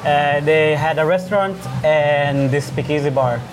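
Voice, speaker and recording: A man's voice: on the high side, a little slow, music in the background. A man in his thirties from Sweden, a bartender chatting easily as a guest in a bar. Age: 30s. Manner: easygoing, short answers, many uh fillers. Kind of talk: casual interview chat. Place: busy bar. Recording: noisy bar background.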